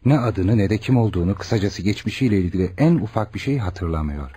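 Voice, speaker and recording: An earnest young doctor for radio theatre. His low voice swings widely as he probes and reassures, with a dark, mellow edge. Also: quick pace, slightly rough. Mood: concerned. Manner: expressive, probing, reassuring. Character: earnest, caring young male doctor, protective and questioning son. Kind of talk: Turkish radio theatre acting.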